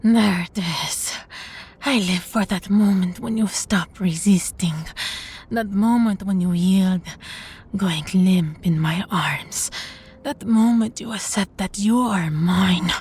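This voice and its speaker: A very breathy, husky female vampire played by a woman in an intimate audio drama. She speaks slowly, with imperious, possessive menace and a teasing, sadistic edge, often sinking toward a whisper. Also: medium pitch, a little mellow. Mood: hungry, seductive. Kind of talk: scripted intimate audio roleplay.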